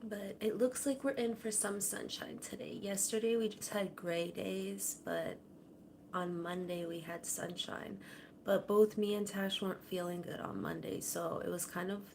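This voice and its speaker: A woman hosting a casual livestream from her home kitchen, talking softly and rambling, her sentences often trailing off quietly. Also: medium pitch, gravelly, a little hiss or hum. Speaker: livestream host. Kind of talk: casual chat to viewers. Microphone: camera on a tripod.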